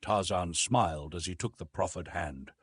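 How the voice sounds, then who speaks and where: A man's voice: low-pitched, normal speed, husky, dry recording. A man reading an adventure novel as a narrator, in a dramatic scripted style. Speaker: audiobook narrator.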